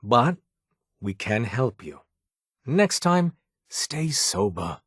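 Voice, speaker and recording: An actor playing a weary, condescending underground doctor in a video game, speaking in a clipped, formal, sardonic way. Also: male voice, mid-range voice, sing-song, extremely slow, fairly bright tone, slightly rough, no room sound, studio quality, full character voice. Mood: dry, reserved.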